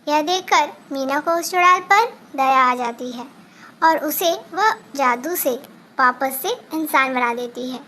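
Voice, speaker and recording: High-pitched woman narrating a children's tale. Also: measured pace, sharp tone, a little hiss or hum. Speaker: storyteller.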